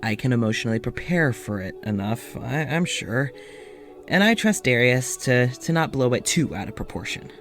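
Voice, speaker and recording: A man voicing a lonely, sleepless man confiding in his audio journal, halting and self-doubting, with frequent stammers and sighs. His voice sits at an ordinary middle pitch, and faint music plays underneath in this audio drama. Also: animated, a bit bright. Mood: weary.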